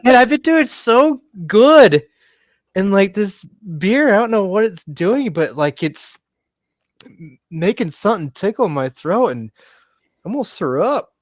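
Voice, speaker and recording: A man's voice: sits high, expressive, a little slow, no echo, clean studio sound. A man co-hosting a casual movie podcast, talking in a hesitant, rambling way and stumbling over words. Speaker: podcast co-host.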